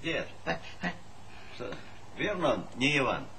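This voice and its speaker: A man's voice: mid-range voice, sing-song, slow pace, pushed and bright, exaggerated voice. An actor playing a blunt, folksy, ironic collective farm chairman in a Soviet stage comedy. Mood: teasing, stubborn. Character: gruff, teasing male collective farm chairman. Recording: faintly noisy 1970s theatre recording.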